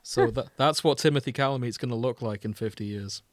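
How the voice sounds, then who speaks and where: A man with a mid-pitched voice hosts a casual chat show in a teasing, wry manner. He talks conversationally in a dry, close-sounding recording. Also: fairly quick, no room sound. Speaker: podcast host. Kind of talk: casual banter about fan fiction, reading excerpts aloud.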